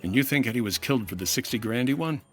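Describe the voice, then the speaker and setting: A male actor as a hardboiled insurance investigator in a radio detective play. His mid-pitched voice is forceful and clipped, dry and wry, with faint music behind. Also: expressive, fast talker, slightly rough. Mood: probing, firm. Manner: clipped, matter-of-fact, wry. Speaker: actor in a comedy audio drama. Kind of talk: old-time radio detective acting.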